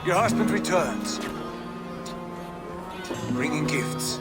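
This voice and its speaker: An actor playing a hearty, lordly Viking adventurer in a video game cutscene, speaking in a grand, declamatory way. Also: male voice, on the high side, lively intonation, slow. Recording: loud music behind the voice.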